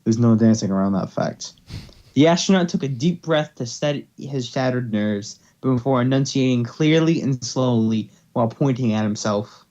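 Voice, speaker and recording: A man's voice: medium pitch, expressive, normal speed, dark tone, dull audio. A man narrating amateur fan fiction, now and then stumbling over words as he reads. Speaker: story narrator.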